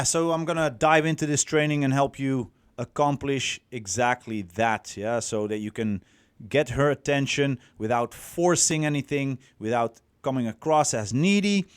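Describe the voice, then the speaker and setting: A man's voice: medium pitch, clean tone, no echo. A Dutch man with a Dutch accent, whose first language is Dutch, giving a rambling, profane, casual coaching talk on a livestream, full of fillers and restarts. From Netherlands. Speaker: dating coach.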